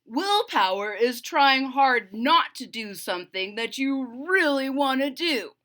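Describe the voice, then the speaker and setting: A sensible, determined storybook frog read by a woman speaks slowly and emphatically. The voice is bright and deliberate, as if patiently instructing a friend. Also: high voice, lively intonation, pitched above the performer's own voice, full character voice. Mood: earnest explaining. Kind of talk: children's storybook read-aloud.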